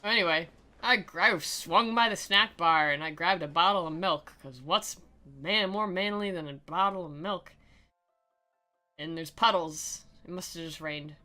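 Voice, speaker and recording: A woman's voice: lowish voice, a little slow, pushed and bright, faint music behind. A woman giving sarcastic, theatrical commentary as she plays and reads aloud from a video game, in a mocking, giggle-prone way. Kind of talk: sarcastic playthrough commentary with dramatic reading.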